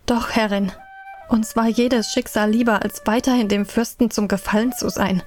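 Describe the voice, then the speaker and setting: A woman's voice: fairly high pitch, fairly even intonation, normal speed, darkish tone, soft background music, close to an everyday voice. A woman as a subdued captive recounting. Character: enslaved young woman recounting her captivity. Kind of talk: radio play narration.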